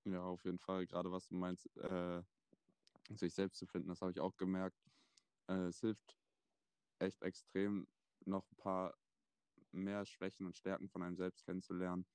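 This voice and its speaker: A man of twenty hosting a casual chat, relaxed and thoughtful with plenty of fillers. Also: low-pitched, clean tone, no room sound. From Germany. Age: 20s. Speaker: podcast host.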